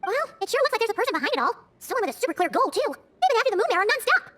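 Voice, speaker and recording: Squeaky, excitable little fairy. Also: female voice, racing, exaggerated voice. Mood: chatty. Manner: exclamatory, blunt, expressive. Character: small excitable childlike fairy companion, chatty and protective. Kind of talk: video game voice acting.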